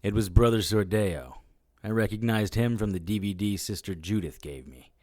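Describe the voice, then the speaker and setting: Audio drama lead male actor: world-weary demon hunter narrating, very low, dry studio sound, matter-of-fact. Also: moderate pace, darkish tone, dry recording, lightly acted. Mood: grim. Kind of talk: first-person noir audio drama narration.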